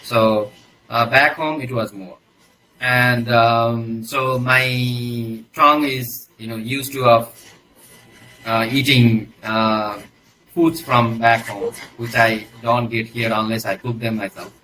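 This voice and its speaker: A man's voice: medium pitch, fairly even intonation, slow, sharp tone, a little hiss or hum, poor audio. A man from Nepal with a Nepali accent, giving hesitant, conversational answers as an interview guest, often adding "you know". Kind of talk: casual interview talk.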